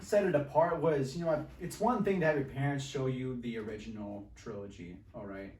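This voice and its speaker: A man's voice: medium pitch, fairly quick, a little mellow, a little hiss or hum. A man, chatting casually and slangily as a film podcast co-host, recorded in an echoey room at some distance from the microphone. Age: 20s. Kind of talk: casual chat. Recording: roomy echo, distant from microphone.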